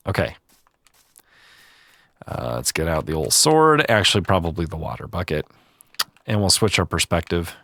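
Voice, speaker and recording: A man hosting a relaxed gaming video, chatting calmly and reading viewer comments, a little stuffy-nosed. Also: low-pitched, dry recording. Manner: calm, easygoing, chatty. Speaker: gaming channel host.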